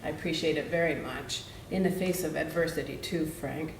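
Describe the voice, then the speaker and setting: Moderator, courteous, gravelly voice, distant mic, echoey room, hiss. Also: medium pitch, moderate pace. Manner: courteous, organizing. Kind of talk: chairing a roundtable. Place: meeting room roundtable.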